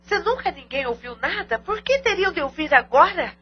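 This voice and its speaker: An actress playing a sensible, loyal aunt who speaks earnestly in an audio drama. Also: female voice, sits high, sing-song, moderate pace. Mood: earnest, reasoning. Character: sensible, loyal aunt reasoning over a mystery. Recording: dull lo-fi audio drama recording.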